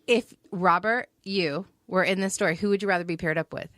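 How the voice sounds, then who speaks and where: A woman's voice: fairly low pitch, brisk, fairly bright tone, no room sound. A woman chatting casually as a guest voice actress, playful and teasing, often jumping in with short interjections. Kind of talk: casual chat.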